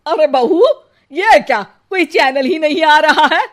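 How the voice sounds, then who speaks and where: A woman voicing a quarrelsome, scornful old mother-in-law in a cartoon, with a scolding, sharp-tongued manner. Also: high voice, measured pace. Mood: indignant. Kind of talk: exaggerated Hindi cartoon family drama.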